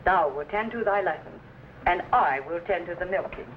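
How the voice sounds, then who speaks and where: A woman playing a firm, loving Quaker pioneer mother in an old-time radio drama, with measured, old-fashioned thee-and-thou speech. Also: fairly low pitch, close to an everyday voice. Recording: dull, noisy old radio recording.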